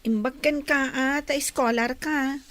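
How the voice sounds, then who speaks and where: A woman's voice: high-pitched, fairly even intonation, unhurried, fairly bright tone. A woman playing a chatty, curious young student new to the big city in an Ilocano radio drama. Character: young female student newly arrived in Manila, chatty.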